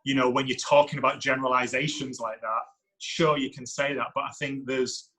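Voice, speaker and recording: Deep-voiced male coach, bright and reflective. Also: little pitch movement, brisk, low-quality recording. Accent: foreign to the United States. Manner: reflective, thinking aloud. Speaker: women's soccer head coach. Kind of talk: podcast interview answer.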